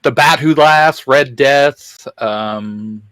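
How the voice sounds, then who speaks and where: A man's voice: medium pitch, very slow, fairly bright tone. A man co-hosting a casual fan chat about comics. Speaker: co-host of a geek discussion channel.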